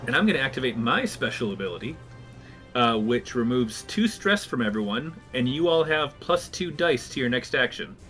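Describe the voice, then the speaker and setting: A man's voice: mid-range voice, very animated, moderate pace, fairly bright tone, faint music behind. A man playing in a live tabletop roleplaying game, talking casually about his character's moves.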